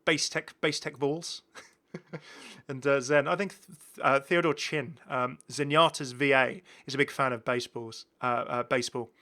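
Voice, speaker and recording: Give this a man with a bright, forward voice doing casual gaming commentary. He is chatty and rambles along, often doubling back to repeat a phrase. His pitch sits in the middle for a man. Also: fairly even intonation, moderate pace. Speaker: gaming video host. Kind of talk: casual commentary on game cosmetics.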